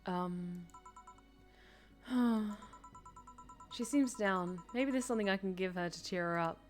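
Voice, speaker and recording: A woman's voice: medium pitch, takes it slowly, faint music behind. A woman streaming a game, chatting casually with her viewers, self-deprecating and talkative. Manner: chatty, self-deprecating, reads chat aloud.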